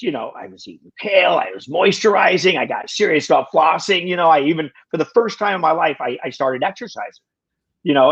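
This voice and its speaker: Halting, husky-voiced man in his sixties. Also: a little high, dull audio. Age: 60s. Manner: halting, full of restarts, wry self-deprecating humor. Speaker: podcast guest. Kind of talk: casual conversation.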